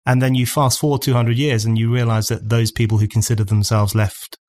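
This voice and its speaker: A man's voice: mid-range voice, little pitch movement, brisk, darkish tone. A man in his forties, hosting a podcast in a studio, building long, earnest arguments at a condenser mic. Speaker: podcast host. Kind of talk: conversational podcast discussion. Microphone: studio condenser mic on stand.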